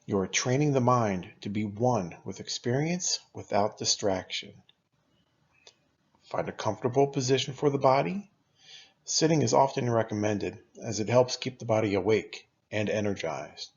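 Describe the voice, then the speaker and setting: A man leading a guided meditation as a teacher, speaking in a calm, unhurried, instructional way with long pauses. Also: medium pitch, slightly rough, muffled recording.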